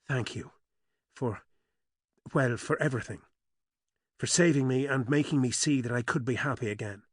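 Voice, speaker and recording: A male narrator voicing an anxious widow who is about to remarry, speaking softly and hesitantly. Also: mid-range voice, normal speed, breathy edge, no echo, studio quality, natural voice. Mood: grateful. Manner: soft, hesitant.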